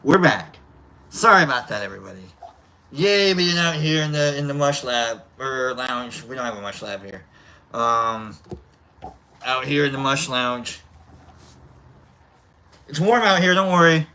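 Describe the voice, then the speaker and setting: Bright, nasal live stream host chatting. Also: mid-range voice, expressive, a little slow, long pauses, a little hiss or hum. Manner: rambling, chatty, repeats greetings to chat. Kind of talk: casual live stream chat, greeting viewers. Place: outdoor lounge by a fire pit.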